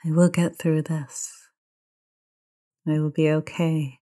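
A woman guides a meditation in a low, soft-toned and mellow voice. She takes her time, speaking very slowly. Her manner is gentle and soothing throughout. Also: little pitch movement. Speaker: meditation teacher.

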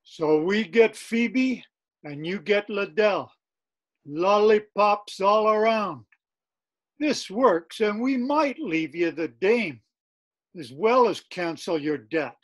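An older man playing a menacing, wisecracking old-time gangster in a radio mystery, talking in deliberate tough-guy slang. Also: high-pitched, animated, exaggerated voice. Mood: smugly menacing. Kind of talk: radio mystery play acting. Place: room at home, video call. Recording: dull video call audio.

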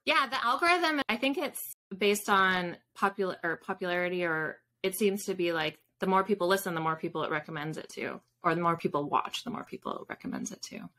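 A woman's voice: fairly low pitch, big pitch swings, a little fast, pushed and bright. A woman in her thirties talking as an interview guest in a casual, informal, explanatory way, on a video call from home. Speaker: podcast guest, author. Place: small room at home with bookshelves, video call. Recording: faint background noise.

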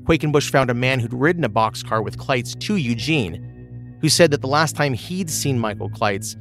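A man's voice: medium pitch, lively intonation, normal speed, a bit bright, faint music behind. A man hosting a true crime podcast, reading a scripted narration. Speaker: podcast host.

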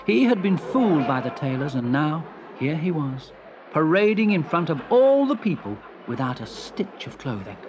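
A woman narrates a children's storybook with theatrical flair. Her pitch swings dramatically, and music plays along behind her. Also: big pitch swings, moderate pace.

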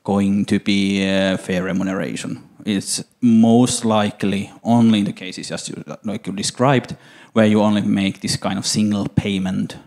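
A middle-aged man with a Finnish accent answers audience questions on a conference stage into a handheld mic, measured and hesitant, with many fillers. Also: lowish voice, little pitch movement, a little mellow. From Finland. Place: conference stage, large hall.

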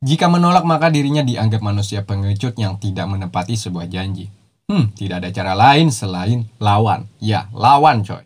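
A man's voice: on the low side, moderate pace. Jokey man narrating a recap. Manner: jokey, teasing asides with slang and laughs. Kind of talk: casual slangy anime recap narration. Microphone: voice-over.

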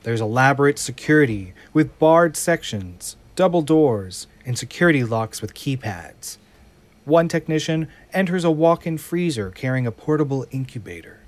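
A man narrating an audio drama from a script in a measured, descriptive storytelling manner. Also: medium pitch, animated.

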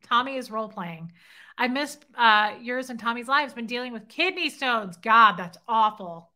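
A woman's voice: a little high, lively intonation, normal speed, sharp tone. A woman in her thirties hosting a casual livestream from her living room, teasing and flirty as she reads viewer comments to a webcam. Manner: playful, teasing, flirty banter. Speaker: livestream host. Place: home living room, indoors. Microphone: webcam at desk.

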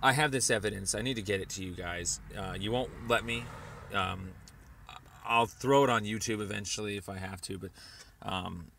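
Guy with a creaky low voice rambling through a voicemail, halting but pushy, bit of noise. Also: sharp tone. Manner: hesitant, halting, insistent. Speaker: caller leaving a voicemail. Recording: noisy voicemail recording of a phone call.

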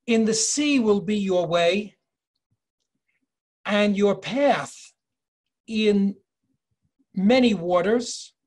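An older man in his sixties leading a virtual tour lecture from home over a video call, measured and explanatory, reading inscriptions slowly. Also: high-pitched, a little mellow. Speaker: tour guide and educator. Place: home office, indoors. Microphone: video call webcam.

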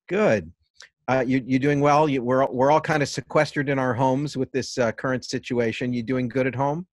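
Middle-aged man, theater director hosting, relaxed and hesitant, dry close sound, video call. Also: medium pitch, dry recording. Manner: relaxed, with frequent "uh" pauses. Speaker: host, theater artistic director. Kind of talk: casual video-call interview. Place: outdoor garden patio at home.

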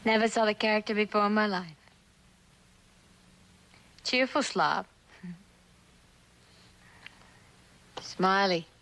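A woman's voice: medium pitch, unhurried, a bit bright. A young actress playing a tough, wary, wisecracking institution-raised girl in a vintage television suspense drama, talking in flip slang. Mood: cool, guarded. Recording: noisy vintage TV soundtrack.

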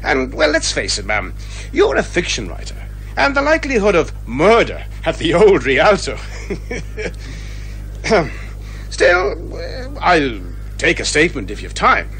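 A man's voice: fairly high pitch, wildly swooping pitch, measured pace, a bit bright, soft background music, exaggerated voice. A man playing a bumbling, genial police inspector who rambles hesitantly and folksily in a radio comedy drama. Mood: affable, flustered.

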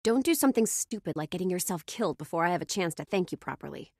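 A woman's voice: on the low side, lively intonation, quick pace, darkish tone, dry recording, studio quality. An actress playing a young woman friend of the hero in a video game, teasing and affectionate. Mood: fond.

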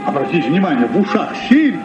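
An actor playing an alert, inquisitive sea captain in a Soviet children's radio play. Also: male voice, a little high, sing-song, fast, music in the background. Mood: wondering. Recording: dull old radio recording.

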